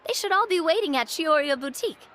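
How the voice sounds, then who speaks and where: A woman's voice: very high-pitched, expressive, quick pace, fairly bright tone. A woman voicing a cheerful, playful, eager young woman in a video game, casual and chirpy.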